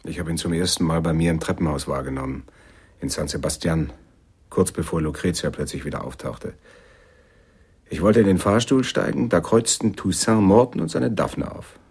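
Very deep, gravelly noir pianist. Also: male voice, moderate pace, darkish tone. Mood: bitter, recalling. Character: wounded, lovelorn male jazz pianist, world-weary noir hero. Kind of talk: radio drama acting.

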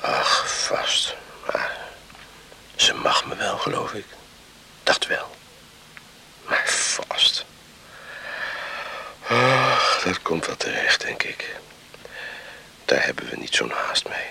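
An actor playing a sleepy, musing man on a farm in a hushed radio drama, with a whispery, gravelly voice. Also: low voice, big pitch swings, takes it slowly, long pauses, exaggerated voice. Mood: drowsy, musing.

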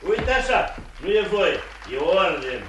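An actor playing a gruff, officious male courthouse usher who barks orders in a radio play. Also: high voice, sing-song, a bit bright. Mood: barking, stern. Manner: barking, peremptory orders. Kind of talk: mid-century radio theatre acting. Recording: noisy, echoey, distant old radio recording.

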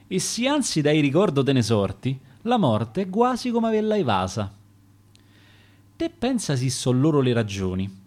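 A man's voice: medium pitch, darkish tone. A man with a Roman accent reciting his own dialect sonnets in a rhythmic, theatrical way for radio theatre. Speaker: poet reading his own verse.

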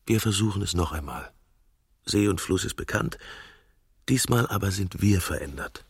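Husky, creaky low-voiced weary husband. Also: male voice, lively intonation, normal speed, dry recording, clean studio sound, full character voice. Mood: wistful, stubborn. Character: weary, stubborn middle-aged husband quarreling with his wife. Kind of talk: intimate modern radio drama dialogue.

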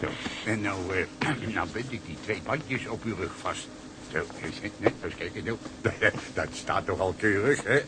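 An actor playing a cheery, coaxing ship's cook and fixer in a radio drama, talking colloquially. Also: male voice, lowish voice, lively intonation, measured pace, very noisy. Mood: coaxing, fussing.

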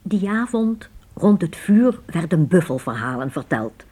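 A woman's voice: on the low side, big pitch swings, a little slow, mellow, breathy edge. A woman narrating a Dutch radio play in scripted, dramatic storytelling style.

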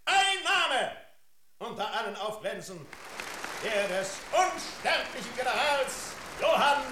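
An actor playing a pompous mayor giving a grandiose, theatrical speech in a radio drama. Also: male voice, very high voice, very animated, crowd noise, background noise, exaggerated voice. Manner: bombastic, theatrical, dramatic drawn-out words. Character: pompous mayor delivering a grandiose banquet eulogy. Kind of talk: declamatory German radio drama oratory. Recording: echoey hall.

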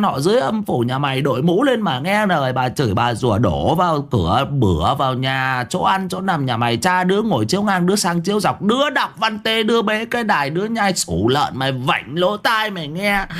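A man's voice: high voice, fairly bright tone, full character voice. A man voicing an older village wife who shrieks a long, rhythmic tirade of curses at a chicken thief.

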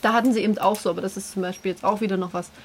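A woman's voice: medium pitch, steady tone, racing. A woman talking casually and with strong opinions as a podcast co-host in a group chat. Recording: clear background noise, room ambience.

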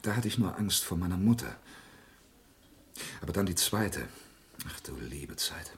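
A man's voice: deep voice, big pitch swings, unhurried, a bit bright, breathy edge, heavily acted. An actor playing a lonely, bitter antique dealer in a radio crime drama, stammering and theatrical in his agitation. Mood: agitated, confiding. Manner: agitated, stammering, theatrical, swinging between murmurs and outbursts.

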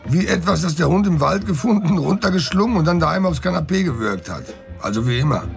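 Flippant shady dealer, very animated, gravelly. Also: male voice, fairly high pitch, fast. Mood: teasing, amused. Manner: sardonic, flippant, slangy. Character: breezy, shady drug dealer, wisecracking friend. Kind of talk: naturalistic German radio crime drama acting. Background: music behind the voice.

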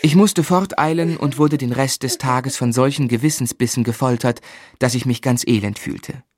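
A male actor playing an earnest, gently exasperated young husband in a literary radio play, measured and articulate. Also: medium pitch, natural voice. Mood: reflective, wry. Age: young adult.

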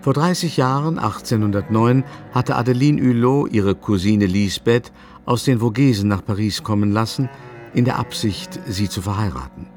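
Low-pitched male literary narrator. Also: moderate pace, soft background music. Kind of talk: literary radio drama narration.

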